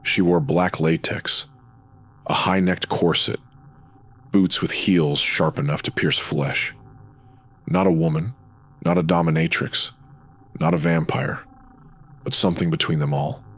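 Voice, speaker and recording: A man's voice: low voice, measured pace, long pauses, a bit bright. A man narrating scripted supernatural noir in brooding, clipped dramatic phrases.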